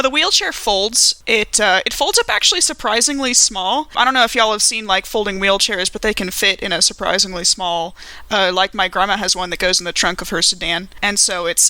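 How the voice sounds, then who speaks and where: A woman's voice: medium pitch, pushed and bright, no room sound. A woman playing a tabletop game, chatting in an informal, enthusiastic way. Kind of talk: casual game chat.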